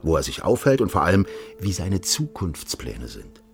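A very deep-voiced, insinuating official. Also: male voice, huge pitch swings, vocal fry, soft background music, heavily acted. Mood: persuasive. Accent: German. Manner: courteous, insistent, deliberate phrasing with repeated direct address. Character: smooth, insinuating East German official offering a sinister bargain. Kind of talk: radio drama acting.

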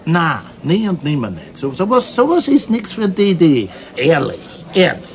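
An actor playing a nervous, evasive small-time crook, simple but sly, who stammers and repeats himself in a radio crime drama. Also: male voice, a little high, huge pitch swings, normal speed. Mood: flustered, protesting. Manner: stammering, repeating words, tagging sentences with questioning filler.

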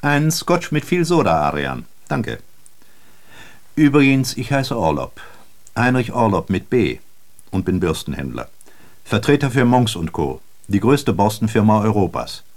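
A man's voice: on the low side, sing-song, a little slow. An actor playing a world-weary, brooding traveling salesman who narrates a literary radio play, addressing the listener directly in a rhetorical, declamatory way. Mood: melancholy, pedantic.

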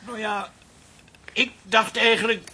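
An actor playing an anxious, jealous middle-aged husband in a radio drama, stammering and repeating himself when flustered. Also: male voice, high-pitched, flat delivery, slow pace, pushed and bright, heavily acted. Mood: wary, hurt.

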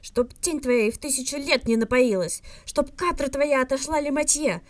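Lady doing an angry young guy in an audiobook, foul-mouthed, dramatic, voice jumps up high. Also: moderate pace, close to an everyday voice. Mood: furious. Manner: hissing, muttering and roaring outbursts. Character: hot-headed young man, indignant, foul-mouthed and theatrical. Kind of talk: fan fiction audiobook reading.

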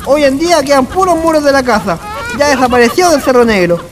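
An actor playing a wry, drunk Chilean village storyteller in a radio drama, colloquial and teasing. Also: male voice, very high voice, fast, noisy background. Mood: storytelling.

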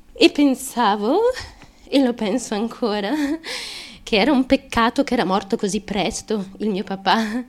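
Actress as high-voiced, unhinged young woman confessing. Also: close to an everyday voice. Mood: wistful, obsessive. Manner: halting, obsessive, repetitive, swinging into outbursts. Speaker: stage actress. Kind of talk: dramatic stream-of-consciousness monologue.

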